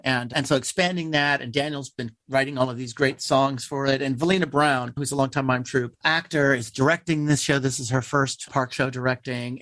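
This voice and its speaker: An American man speaks as an interview guest in a fairly high voice for a man. His explanations are conversational, and the recording is dry and close. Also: fairly bright tone, no room sound. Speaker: guest, theater writer.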